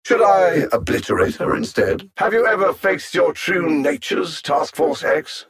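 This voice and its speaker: A man playing a cold, superior alien machine conqueror in a video game, articulate and haughty, savoring his menacing taunts in a gravelly, husky voice. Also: high voice, big pitch swings, a little slow, exaggerated voice. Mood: sneering taunts. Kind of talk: theatrical video game villain acting.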